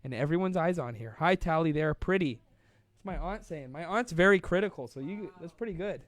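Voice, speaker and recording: A man's voice: a little high, expressive, fairly quick, no room sound. A young man hosting a casual live online show, rambling and playful, laughing often as he reads out viewer comments. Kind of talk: casual live-stream chat.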